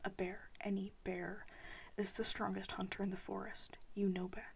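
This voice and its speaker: A grim, dying father from a storybook, pressing out his words in a breathy whisper, as a woman reading aloud puts him on. The tone is husky and airy at a middle pitch. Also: level tone, moderate pace.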